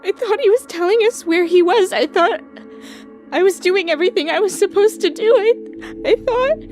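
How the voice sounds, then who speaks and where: A woman's voice: very high voice, lively intonation, darkish tone. An actress playing an emotional, determined woman who survives an apocalypse, in an audio drama. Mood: stunned, grieving. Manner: emotional, halting in grief, firm when resolved. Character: Leah, grief-stricken but determined woman survivor of an apocalypse. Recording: music behind the voice.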